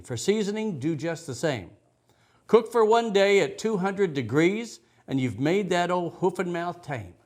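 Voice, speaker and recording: A man with a fairly high voice reads a children's story in rhyme. His delivery is expressive and rhythmic, with very animated pitch that rises and falls as a storyteller's does.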